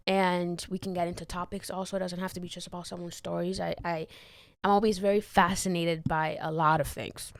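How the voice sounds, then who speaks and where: A woman's voice: on the low side, flat delivery, a little fast, vocal fry, no echo. Young woman, podcast host, from the Dominican Republic, candid rambling, swears a lot, dry close sound. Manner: candid, swears freely, trails off and restarts, laughs often. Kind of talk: rambling solo podcast chat. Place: bedroom, sitting on bed. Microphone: handheld mic.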